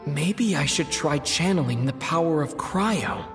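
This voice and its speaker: An actor playing a thoughtful, determined young adventurer in a video game, musing to himself in a measured way. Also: male voice, fairly high pitch, lively intonation, creaky, music in the background. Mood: curious, musing.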